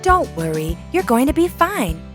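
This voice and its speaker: Kindly female cartoon rescue truck, very high voice, lilting, motherly, music behind. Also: very animated, normal speed. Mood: caring. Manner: soothing, motherly. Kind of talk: children's cartoon voice acting.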